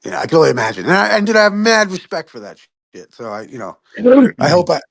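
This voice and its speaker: A man's voice: fairly high pitch, very animated, fast, vocal fry, fairly bright tone. Rambling, cursing man on a call. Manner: rambling, profane, self-deprecating, laughs often. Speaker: flat-earth debater. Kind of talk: heated online debate chat.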